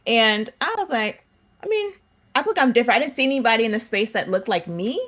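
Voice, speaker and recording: Expressive woman guest, lively and high. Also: fast. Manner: expressive, laughs often, acts out quotes. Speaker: podcast guest. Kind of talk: casual podcast chat.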